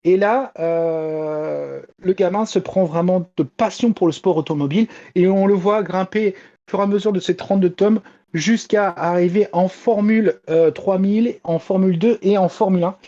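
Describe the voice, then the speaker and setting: A woman's voice: lowish voice, normal speed, mellow, muffled recording. A woman giving an enthusiastic, casual review as a manga columnist, with hesitant phrasing.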